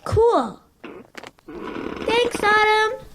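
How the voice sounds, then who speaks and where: A woman as a very high-voiced, timid little girl. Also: expressive, extremely slow, fairly bright tone, slight background noise, heavily acted. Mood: curious, hopeful. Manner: hesitant, plaintive. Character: timid, worried little schoolgirl, younger sister. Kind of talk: audio drama acting.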